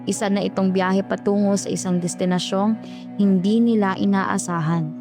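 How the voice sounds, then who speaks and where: A woman's voice: mid-range voice, fairly even intonation, normal speed, over music. A woman with a Filipino accent reading scripted, dramatic horror narration.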